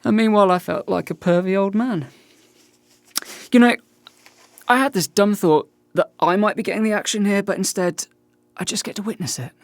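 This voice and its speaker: A man's voice: high voice, moderate pace, no echo. Radio play: male actor as self-deprecating man turning forty, awkward and talkative, naturalistic delivery, very dry sound. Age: about 40. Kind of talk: naturalistic radio drama acting.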